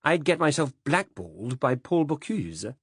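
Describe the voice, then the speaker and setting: A man's voice: mid-range voice, big pitch swings, unhurried, breathy edge, no room sound, clean studio sound, pitched above the performer's own voice. A man reading aloud voices a smug, affected male dinner guest who teases his host. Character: smug affected man, fond of French food.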